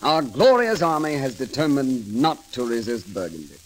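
An actor playing a bold, eloquent rogue lord in a declamatory 1930s radio drama style. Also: male voice, mid-range voice, very animated, normal speed. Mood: wry, quiet. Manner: declamatory, theatrical. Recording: old 1930s radio broadcast recording.

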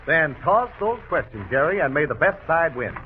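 A man's voice: fairly high pitch, very animated, moderate pace, slight background noise. A man co-hosting an old-time radio quiz, speaking in a period announcing style. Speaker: quiz show co-host. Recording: tinny, narrow vintage radio recording.

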